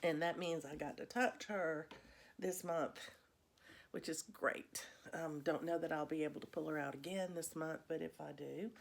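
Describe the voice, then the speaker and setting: A woman's voice: deep voice, steady tone, normal speed, gravelly. A woman chatting casually to viewers as a vlog host, in a rambling way, with a slightly congested, froggy voice. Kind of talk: casual chat to viewers about cross stitch.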